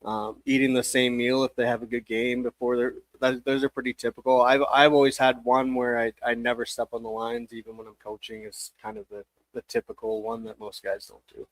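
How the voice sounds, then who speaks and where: A man speaking as a baseball coach, giving casual, measured interview answers with hesitant phrasing. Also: mid-range voice, flat delivery, no echo, poor audio.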